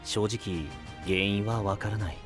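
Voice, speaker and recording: A man playing a serious, composed young knight in an anime audio drama, calm and matter-of-fact. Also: lowish voice, measured pace, over music, natural voice. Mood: grave.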